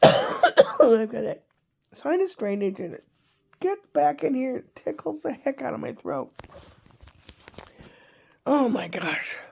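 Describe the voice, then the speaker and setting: A woman's voice: sits high, sing-song, takes it slowly, creaky, long pauses, darkish tone, husky. An older woman chatting casually to her camera, congested and stuffy, rambling along with wry asides. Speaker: vlogger.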